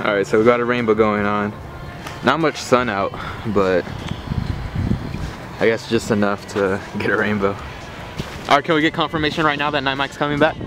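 A man's voice: on the low side, normal speed, pauses often, background noise. Laid-back young man vlogging on a trail. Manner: laid-back, slangy, rambling. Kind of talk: casual hiking vlog narration. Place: outdoor hillside trail.

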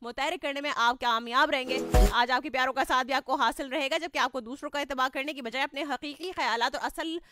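A woman's voice: high voice, fast talker, hardly any pauses, sharp tone, dry recording, clean studio sound. A young woman with a sore throat hosting a morning radio show, reading listener messages in a chatty way, laughing often and slipping into English. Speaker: radio host. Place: radio studio. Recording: music playing underneath.

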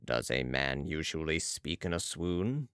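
A man voicing a serene, commanding mesmerist with calm mystical authority in an audiobook. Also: rumbling low, unhurried, smooth, no echo, studio quality, voice pushed down, exaggerated voice. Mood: assured. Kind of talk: audiobook character voice.

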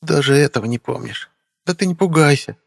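A man's voice: fairly high pitch, lively intonation, a little fast, gravelly, husky, voice pushed up, full character voice. A man doing a kindly motherly nurse. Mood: soothing. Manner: soothing, homely and motherly. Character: kindly middle-aged female army-hospital nurse. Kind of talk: audiobook character voice.

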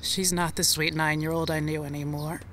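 A woman's voice: sits low, little pitch movement, normal speed, fairly bright tone, slight background noise. An actress in an audio drama playing a serious, distressed young woman. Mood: grave.

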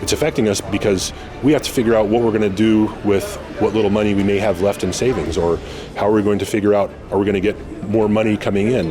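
An American man, a union official, speaking haltingly in an unscripted press interview. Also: fairly low pitch, steady tone, crowd noise.

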